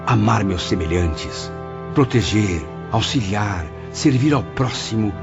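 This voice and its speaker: An actor playing a repentant male spirit who reflects solemnly on his afterlife in an audio drama, with measured literary phrasing. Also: fairly low pitch. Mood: resolute, reflective. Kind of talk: audio drama acting and first-person narration. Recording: dull, band-limited audio under clear music.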